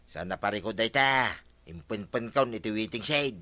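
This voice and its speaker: Radio comedy villager, obstinate grumbling farmer, very sharp-edged delivery, dry sound. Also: male voice, lowish voice, animated, normal speed, no echo. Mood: easygoing. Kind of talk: Ilocano radio comedy drama acting.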